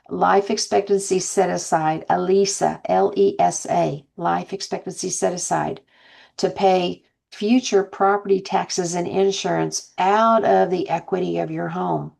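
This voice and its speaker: A woman's voice: lowish voice, vocal fry, darkish tone. A woman counselor giving an informal explanatory lesson, rambling a bit as she talks through hypothetical examples. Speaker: housing counselor presenting.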